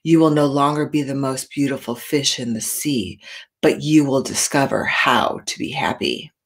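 A woman voicing a wise old female octopus in a deep, slow, soft voice. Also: breathy edge, exaggerated voice. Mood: solemn.

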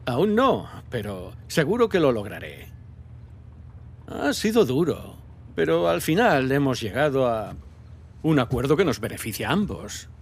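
A contented businessman near retirement in a cinematic game. His voice is creaky and slow, with an easygoing lilt that moves around, over faint ambient noise. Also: medium pitch, sing-song. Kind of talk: Spanish dub of cinematic game drama.

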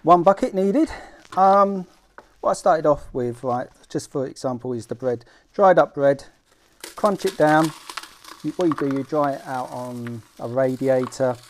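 A middle-aged man with a British accent hosting an informal homemade how-to vlog, talking in a halting, self-deprecating way as he works. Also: fairly high pitch, a little hiss or hum. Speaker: fishing vlog host. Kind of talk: casual how-to demonstration. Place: small room at home, indoors.

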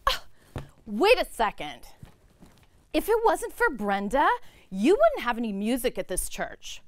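Lady doing pushy indignant churchgoer in a skit, high dry voice. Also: wildly swooping pitch, measured pace, no room sound. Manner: indignant, confrontational. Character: indignant, pushy female congregation member. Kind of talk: scripted comic training film dialogue.

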